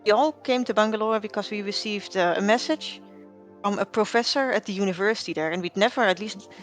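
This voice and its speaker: A woman's voice: medium pitch, a little fast, soft background music. Woman rambling through her character's story. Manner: rambling, with fillers and restarts. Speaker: roleplay player. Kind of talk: casual in-character roleplay.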